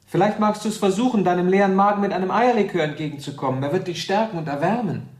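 A man's voice: sits high, quick pace, darkish tone, slightly rough. An actor playing a troubled, bewildered grown man who searches ponderously for his formal words in a German radio drama. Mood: insistent, courteous.

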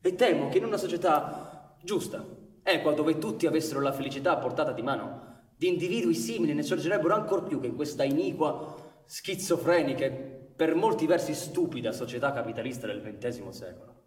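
A man's voice: a little high, expressive, a little fast, close to an everyday voice. A man playing an earnest, courteous police commissioner in radio theatre, speaking formally, articulately and persuasively. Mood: reflective, earnest. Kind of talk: Italian radio theatre acting. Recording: echoey hall with long reverb.

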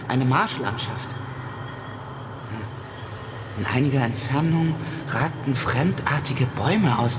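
An actress playing an anxious, irritable person trapped in a strange place, in a naturalistic radio drama, hesitant and intense. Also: female voice, deep voice. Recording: clear background noise.

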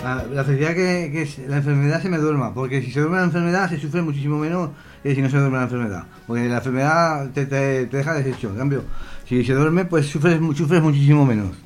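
A man talking personally and unscripted on the radio, halting and circling back over the same words. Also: on the high side, soft background music. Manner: halting, repetitive.

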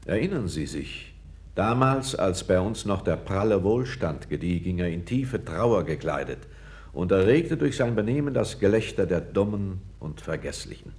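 An actor playing a calm, candid foreign court councillor, speaking with measured, deferential gravity in a 1960s radio play. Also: male voice, deep voice. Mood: reflective, pointed. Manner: measured, grave, deferential yet frank.

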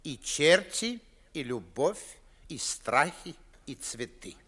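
A man's voice: on the high side, very animated, slow, a bit bright, exaggerated voice. An actor playing a pompous, grumbling middle-aged nobleman and father, declaiming verse sarcastically in 1950s theatrical radio style. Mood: patronising.

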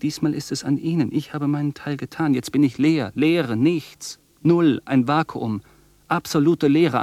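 A man's voice: fairly high pitch, a little mellow, no room sound. An actor playing an obsessive, defiant, imperious rich man in a German radio drama, declaiming and repeating his commands. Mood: urgent, challenging.

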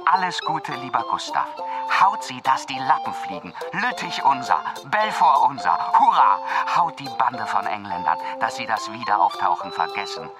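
A man's voice: mid-range voice, sing-song, normal speed, over loud music, lightly acted. An actor playing a fervently patriotic brother reading out his wartime letter in a rousing, declamatory way for a radio drama. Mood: fervent, jingoistic.

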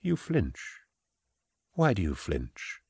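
A man voicing a smooth, falsely kindly prefect in an audiobook, soft and whispery, with measured, condescending phrasing. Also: low voice, wildly swooping pitch, no room sound, studio quality, full character voice. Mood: silky, soothing. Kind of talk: audiobook character acting.

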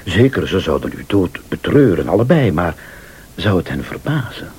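Radio thriller, insistent accuser: low, slightly husky, deliberate, theatrical, insinuating. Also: male voice, big pitch swings, darkish tone, full character voice. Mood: grave, insinuating. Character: male late-night accuser, shrewd, insistent, laying out a murder plot. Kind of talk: Dutch-language radio thriller acting.

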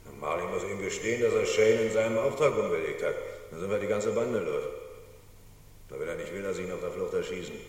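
Male actor as crooked police boss, deep voice, cynical, curt and commanding, radio noir crime drama. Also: fast talker, a little mellow, natural voice. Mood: smug, scheming. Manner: smug, authoritative, curt.

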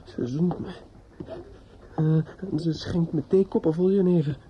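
Muffled noisy old radio drama, male actor as anguished young man, halting, repetitive, frightened monologue. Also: fairly high pitch, natural voice. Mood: lost, hesitant. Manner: halting, stammering, repeating himself. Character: despairing young man terrified and grieving, talking to himself.

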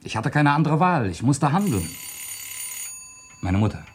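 An actor in a German radio crime drama playing a suspected, disinherited son, earnestly and emphatically justifying himself. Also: male voice, fairly high pitch, sing-song, measured pace, slight background noise. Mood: defensive. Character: disinherited son under suspicion, defensive and calculating.

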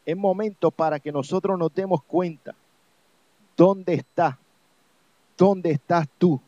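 A man's voice: high-pitched, steady tone, slow, mellow, dry recording. A man with a Puerto Rican accent preaching a sermon as an evangelist, exhorting listeners with insistent, repeated phrases. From Puerto Rico. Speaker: evangelist preacher on a religious program. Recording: dull, lo-fi, dry sound.

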